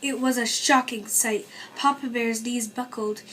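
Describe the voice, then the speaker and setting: A woman's voice: a little high, fairly even intonation, unhurried, fairly bright tone. A woman narrating a children's storybook expressively as a read-aloud. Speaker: story reader.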